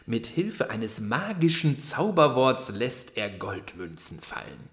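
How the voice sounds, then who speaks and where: An actor playing a rich, breezy and generous royal brother in a fairy-tale radio play, with a theatrical, exclamatory delivery. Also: male voice, medium pitch, big pitch swings, slow, fairly bright tone. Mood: showy, instructing.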